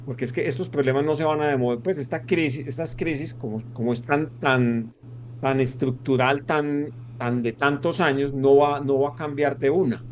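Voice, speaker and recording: A Colombian podcast host putting a long question to his guest, stumbling and restarting as he searches for the words. His voice is at a middle pitch, with a faint hum in the background. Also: steady tone, normal speed. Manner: hesitant, many fillers and restarts. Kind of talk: interview question.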